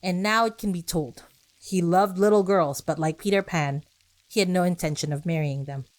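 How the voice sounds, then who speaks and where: A woman's voice: fairly low pitch, moderate pace. A woman podcast host reading scripted narration with personal asides, speaking conversationally and directly to her listeners.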